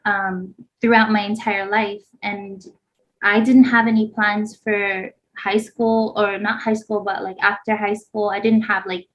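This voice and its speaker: A woman's voice: medium pitch, flat delivery, measured pace, a bit bright. Podcast co-host, woman from Hawaii in her thirties, chatty and rambling, a bit lo-fi. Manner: rambling, heavy use of "like". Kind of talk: casual chat, personal story. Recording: slightly dull lo-fi sound.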